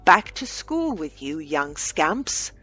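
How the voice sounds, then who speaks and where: A woman voicing a pompous, disdainful older-style gentleman solicitor in a storybook reading, with emphatic delivery. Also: sits low, sing-song, takes it slowly, breathy edge, soft background music, exaggerated voice. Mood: barking. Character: tall balding pompous gentleman solicitor with sweet tooth.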